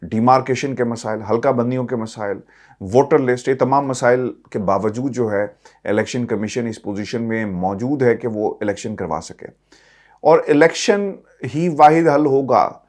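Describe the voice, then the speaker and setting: A man's voice: medium pitch, moderate pace, a little mellow. Male political commentator, Pakistani accent, mid-range voice. Addresses viewers directly, leans on points with emphasis and repetition.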